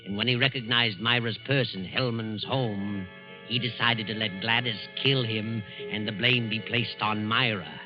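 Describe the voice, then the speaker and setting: Narrator: American male, dramatic 1940s radio delivery with pauses, dull vintage broadcast sound, music underneath. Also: on the low side, fairly even intonation. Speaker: narrator and announcer.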